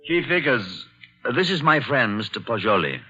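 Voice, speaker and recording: An actor playing an eager, talkative colonial man who hosts a visitor, in a 1940s radio drama, quick to jump to conclusions. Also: mid-range voice, sing-song, music in the background, natural voice. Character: chatty, excitable colonial man, a keen host. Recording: dull lo-fi 1940s radio recording.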